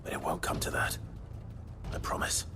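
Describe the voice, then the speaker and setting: A man's voice: normal speed, close to an everyday voice. A male actor playing a stoic, resolute warrior hero in a video game, terse and grave. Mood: stern, resolute. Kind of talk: dramatic game acting. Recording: loud music under the voice. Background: music.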